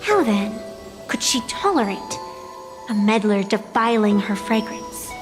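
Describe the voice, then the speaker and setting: A woman playing an ancient, proud arbitrator of deadly games in a video game, speaking in a solemn, deliberate, poetic way over music. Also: mid-range voice, lively intonation, natural voice. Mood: solemn storytelling. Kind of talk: scripted video game voice acting, dramatic storytelling.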